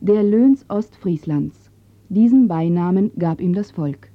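A woman's voice: lowish voice, expressive, a little slow, dry recording, phone-quality sound. Woman narrating a radio feature, dry.

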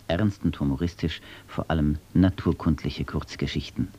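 An older writer from East Frisia speaking about his writing and reciting his own poetry in a slow, measured way, from an archive recording. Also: extremely low pitch, steady tone, dark tone, husky. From East Frisia, Germany. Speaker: writer and poet. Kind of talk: reflective talk and poetry reading.

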